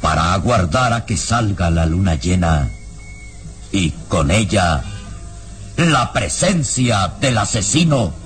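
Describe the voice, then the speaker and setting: A male narrator of a radio drama with a low voice. He reads scripted prose gravely and deliberately, building solemn atmosphere over clearly audible music. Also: lively intonation. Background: dramatic background music.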